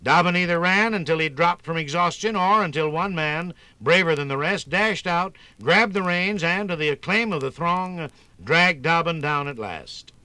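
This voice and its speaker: A man narrating a scripted 1950s radio program in a theatrical, folksy storytelling manner with drawn-out emphasis. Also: a little high, sharp tone, smooth, no echo.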